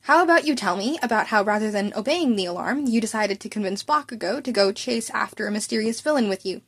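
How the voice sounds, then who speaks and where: A woman's voice: mid-range voice, lively intonation, fast. Woman as a weary, stern male schoolteacher.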